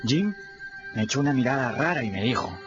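A man plays a cheeky adolescent runaway telling his adventures in a radio drama. His colloquial delivery swings up and down with lots of exclamation. The sound is slightly dull, with music clearly behind him. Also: mid-range voice, animated, a little fast, lightly acted. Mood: animated storytelling. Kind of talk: radio drama storytelling in first person.

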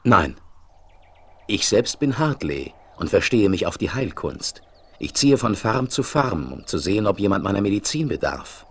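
Husky, low-voiced frontier wanderer. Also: male voice, big pitch swings, moderate pace, full character voice. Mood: curious, willing. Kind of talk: 1970s German radio play acting.

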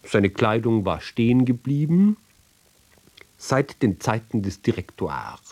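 Guy doing a slow literary radio reading, medium pitch, deliberate, lots of pauses between phrases. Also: sing-song, darkish tone. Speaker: reader. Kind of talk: measured literary recitation for radio.

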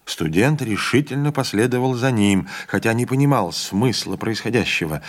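A man reading a literary audiobook in a measured, even storytelling manner. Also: medium pitch. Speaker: audiobook reader. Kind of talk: literary narration.

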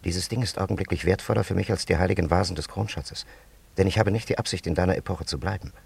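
An actor playing a solemn traveller from an ancient civilization in a German radio play, speaking in a stately, archaic, formal way with a gravelly, husky voice. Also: male voice, low-pitched, fast talker, heavily acted. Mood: solemn, confiding.

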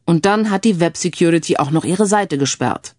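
A woman's voice: lowish voice, moderate pace, a little mellow, no room sound, natural voice. A woman reading the part of a keen younger male IT colleague in an audiobook, dry and matter-of-fact. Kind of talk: audiobook character dialogue.

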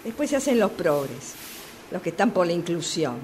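A woman's voice: on the low side, sing-song, normal speed, a little mellow. An actress playing a chatty, exasperated woman with a Rioplatense Argentine accent, gossiping and complaining in a radio play about a subway phone call. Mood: bitter, sarcastic. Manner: gossipy, exasperated, repeating herself. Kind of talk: radio theatre monologue acting. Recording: clearly noisy amateur workshop recording.

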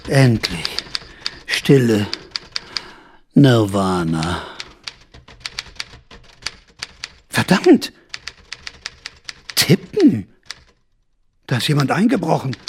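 An agitated male narrator in a radio drama, creaky and swinging widely in pitch. He is sardonic and theatrical, addressing the listener through exclamations, with faint noise of a typewriter behind him. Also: huge pitch swings, drawn-out words, long pauses, full character voice. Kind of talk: dramatic radio play monologue.

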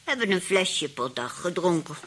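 An older woman acting in a radio play as a sly old aunt who sounds gentle, innocent and a little husky. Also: lowish voice, very animated, normal speed, heavily acted. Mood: sweet, innocent. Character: sly old aunt playing sweetly naive and grateful.

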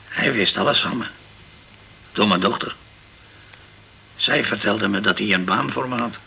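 Troubled captain, bright and creaky. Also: male voice, on the low side, full character voice, low-quality recording. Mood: troubled, suspicious. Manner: halting, stammering over words. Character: guilt-ridden hard-drinking male ship's captain, defensive and troubled. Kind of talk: Dutch radio crime drama acting.

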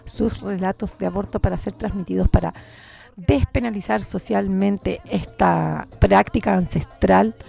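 Very creaky Chilean woman on the radio. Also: mid-range voice, steady tone, measured pace, dark tone. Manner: informal, outspoken, slangy. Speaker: community radio host. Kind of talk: casual radio chat.

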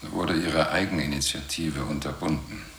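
Thoughtful moon scientist, extremely low gravelly voice, slow, pondering, radio play. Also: male voice, fairly even intonation, fairly bright tone, slight background noise, heavily acted. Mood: brooding, awed. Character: thoughtful male scientist Jul at a moon research station. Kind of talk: radio drama acting.

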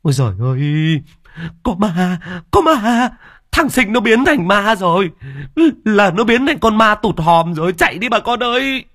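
A man's voice: high-pitched, very animated, unhurried, a bit bright, no echo, studio quality, pitched above the performer's own voice, full character voice. A man voicing frightened village women screaming that there is a ghost. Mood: terrified.

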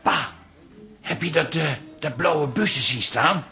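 An actor playing a sarcastic, exasperated son-in-law in a comic radio drama. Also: male voice, fairly high pitch, slow, sharp tone, soft background music, exaggerated voice. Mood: sly teasing. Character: sarcastic, exasperated son-in-law needling his wife's father.

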